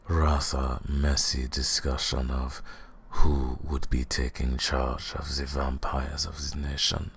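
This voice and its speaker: An extremely low, husky vampire lord, holds forth in a fantasy ASMR roleplay. He is arrogant and menacing, drawing out his words very slowly with theatrical condescension. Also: full character voice. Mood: cold, commanding. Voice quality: very deep, gravelly, husky, breathy. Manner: slow, deliberate, condescending, theatrical. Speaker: audio roleplay performer.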